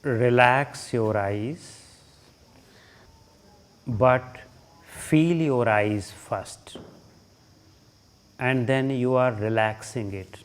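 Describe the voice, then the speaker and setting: A man with an Indian accent leading a guided relaxation as a yoga teacher, giving short instructions with long pauses between them. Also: mid-range voice, smooth.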